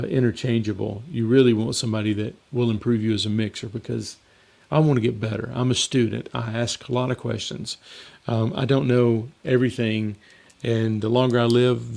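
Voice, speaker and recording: A man hosting a casual live Q&A as a mixing coach, slightly hoarse and rambling in a conversational way. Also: mid-range voice, darkish tone. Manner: rambling and conversational, with frequent fillers and restarts. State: slightly hoarse, losing his voice. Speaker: mixing coach and show host.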